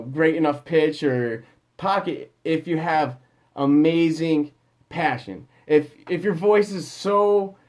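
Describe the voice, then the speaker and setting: A man's voice: on the high side, a little mellow. A man who is a music producer, giving singers casual, rambling advice with lots of fillers and restarts.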